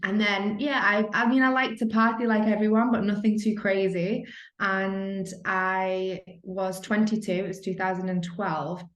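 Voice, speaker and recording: A woman's voice: medium pitch, steady tone, measured pace, a bit bright. A woman, telling her story as an interview guest in a chatty way, full of "like". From Manchester, England. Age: 30s. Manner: chatty, lots of "like", acts out her own thoughts. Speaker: guest, personal trainer and nutritionist. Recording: roomy echo.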